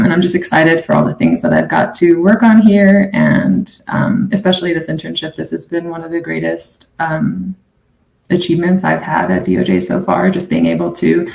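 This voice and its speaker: A woman's voice: fairly low pitch, gravelly, a little mellow, reverberant, rough recording. A woman attorney from New Mexico moderating a live panel in a friendly way, with frequent ums. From Navajo Nation, New Mexico.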